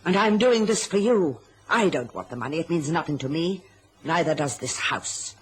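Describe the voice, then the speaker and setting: A woman's voice: sits low, lively intonation, normal speed, slight background noise. An actress playing a scheming, middle-aged mother in an old radio drama, imperious and silky in manner. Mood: cold, determined. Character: scheming, domineering mother with a sweet, coaxing front.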